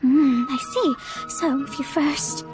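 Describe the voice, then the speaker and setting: A haughty young astrologer with an extremely high voice. She is dramatic, formal and easily flustered in anime game fashion, with music behind her. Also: slow pace. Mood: haughty, focused. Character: proud, melodramatic young female astrologer, broke and flustered. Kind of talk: anime-style video game voice acting.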